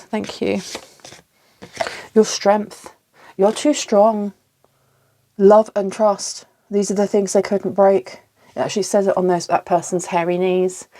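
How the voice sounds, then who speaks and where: Brief woman tarot reader, mid-pitched, a little sniffly, thinks aloud to the cards. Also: warm tone. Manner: musing aloud, pausing to ask the cards for clarity. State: slight cough and sniffles. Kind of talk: solo tarot reading to camera.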